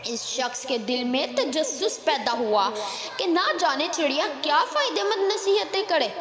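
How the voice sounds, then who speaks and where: A woman's voice: sits high, lively intonation, measured pace, a bit bright. A woman hosting a storytelling channel, narrating a scripted moral story. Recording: echoey hall-like reverb, crowd-like background noise.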